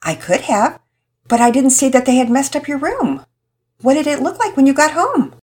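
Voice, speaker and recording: A woman voicing a patient, gentle mother figure who speaks calmly and explains things like a teacher. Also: mid-range voice, normal speed, voice pushed up, lightly acted. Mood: concerned. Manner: calm, warm, teaching tone.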